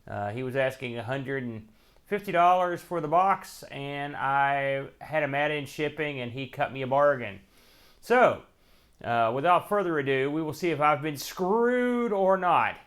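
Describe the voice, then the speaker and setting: A man's voice: on the high side, lively intonation, normal speed, a bit bright, clean tone. Wry man hosting a casual unboxing. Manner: wry, joking, folksy slang; thinks aloud while handling items. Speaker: host of a retro gaming show.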